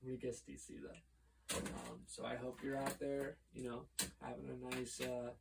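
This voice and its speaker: A man's voice: mid-range voice, flat delivery, moderate pace, gravelly. An American man from the United States hosting a playful mock press conference in a rambling, mock-formal way. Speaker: vlogger. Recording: loud background noise.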